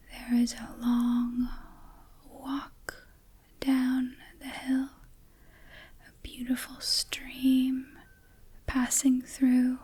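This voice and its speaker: A woman whispers a guided meditation in a very breathy, airy voice. She goes extremely slowly, with long pauses between short phrases. Her delivery is soothing and stays on an even, narrow line of pitch. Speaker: ASMR host, meditation guide.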